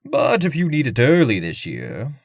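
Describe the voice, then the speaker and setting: A man's voice: medium pitch, huge pitch swings, normal speed, creaky, no echo, studio quality. An actor playing a haughty, wealthy nobleman in a comic dub, speaking in a formal and pompous manner. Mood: courteous.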